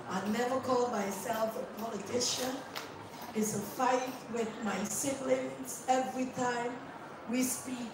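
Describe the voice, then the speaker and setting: A middle-aged woman from Suriname with a Surinamese accent, English not her first language, giving an earnest, direct speech without notes into a handheld mic before a seminar audience. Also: on the high side. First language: not English; Dutch learned in school. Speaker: guest speaker, ambassador. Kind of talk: unscripted public speech. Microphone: handheld microphone. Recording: echoey room, far from camera, noisy.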